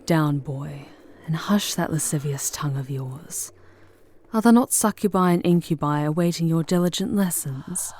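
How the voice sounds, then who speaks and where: A woman's voice: low-pitched, moderate pace, darkish tone. A woman playing a charming, sardonic demon guide in an ASMR audio roleplay, murmuring breathily with wry, formal phrasing. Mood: wry, commanding.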